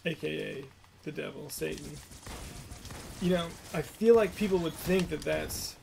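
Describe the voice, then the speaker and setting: Gravelly young man on a noisy stream. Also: fairly high pitch, a little slow, a little mellow. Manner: chatty, rambling, self-deprecating. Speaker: livestream host and voice actor. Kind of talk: casual chat while playing a game. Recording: noisy livestream audio.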